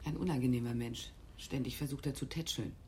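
A woman's voice: rumbling low, a little fast. An actress playing a loyal female police secretary in a radio play, businesslike and confiding. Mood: confiding, disapproving.

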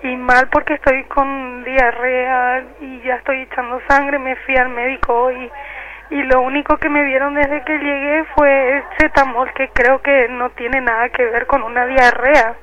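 Woman phoning in her testimony, high voice, bit of line noise. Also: flat delivery, measured pace. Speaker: detainee giving testimony by phone. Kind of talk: personal testimony in a radio phone interview. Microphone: telephone. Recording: muffled, noisy telephone line.

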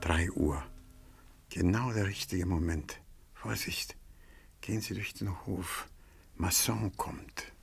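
Knowing, gravelly-voiced man. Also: sits low, faint music behind, exaggerated voice. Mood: hushed caution. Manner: measured, dry, knowing. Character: composed, knowing man with sly superiority. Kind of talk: German crime radio drama acting.

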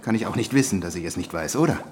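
An actor in a German radio drama playing a gentle, clever half-ape scientist with a hesitant, brooding manner. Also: male voice, fairly low pitch, lively intonation, racing, noisy background, lightly acted. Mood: guarded, indignant.

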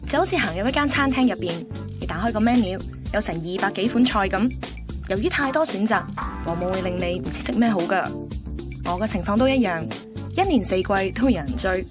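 A woman's voice: medium pitch, lively intonation, fairly quick, over music, natural voice. A woman with a Hong Kong Cantonese accent playing a picky, chatty host in her twenties, narrating a radio drama conversationally. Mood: playful, confiding. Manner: cheeky, self-assured, conversational.

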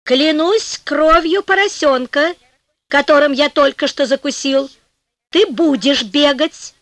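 Woman acting a high-voiced, cocky gentleman trickster. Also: slow pace, dry recording, exaggerated voice. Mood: taunting. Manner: theatrical, mock-courteous, taunting. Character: cocky, penniless nobleman, polite and cunning trickster.

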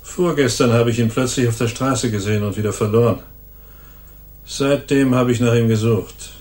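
A man's voice: medium pitch, normal speed, a little mellow, close to an everyday voice. An actor playing a cynical, hardened ex-convict gunman in a radio crime drama, talking in sneering, slangy tough-guy phrases. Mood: bitter, smug. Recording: roomy studio echo.

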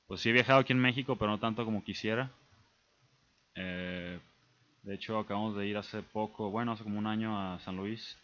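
A young man with a Mexican accent, a guest on a casual radio interview, relaxed and hesitant with many fillers. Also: lowish voice, fairly quick, lo-fi sound. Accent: Mexican Spanish.

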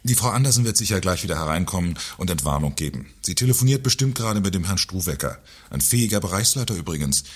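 A man's voice: low-pitched, animated, fast, hardly any pauses, breathy edge. An actor playing a pompous, condescending male company director in a satirical radio play, speaking in formal, sarcastic phrases. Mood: smug, dismissive. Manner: sarcastic, haughty, formal wording.

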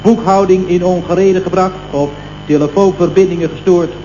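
An actor playing an obliging, naively enthusiastic employee who earnestly explains himself in a vintage radio comedy. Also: male voice, high voice, steady tone, normal speed, mellow, close to an everyday voice. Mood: eager explaining. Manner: obliging, earnest explaining, keeps addressing his boss. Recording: dull old radio recording, faint noise.

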